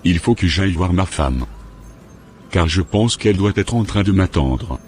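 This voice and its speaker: A man playing a churchgoing husband in a scripted animated drama, speaking in a stiff, measured way. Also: deep voice, soft background music. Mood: evasive. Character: unfaithful husband, defensive churchgoer who turns repentant.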